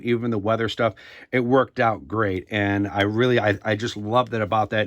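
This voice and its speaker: A man's voice: medium pitch, fairly bright tone. A man giving a casual, rambling, conversational product review to camera, circling back over his points.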